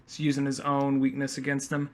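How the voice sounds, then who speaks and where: A man's voice: mid-range voice, monotone, fairly quick, background noise. A young man co-hosting a podcast with casual, conversational commentary peppered with "like". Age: young adult. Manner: conversational, filler-heavy with frequent "like". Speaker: podcast co-host.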